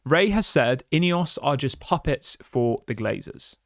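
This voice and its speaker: A man's voice: medium pitch, expressive, measured pace, vocal fry, no room sound. Passionate ranting man on a dry mic. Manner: passionate, ranting, many restarts and rhetorical questions. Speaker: football fan channel host. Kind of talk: livestream rant reading viewer chats.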